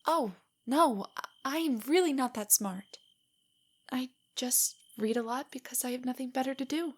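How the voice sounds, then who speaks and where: A woman performs a nerdy young girlfriend in an intimate audio drama. Her voice is fairly high and breathy, often slipping close to a whisper. She is nervous, self-doubting and affectionate, hesitating and stammering as she speaks. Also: normal speed.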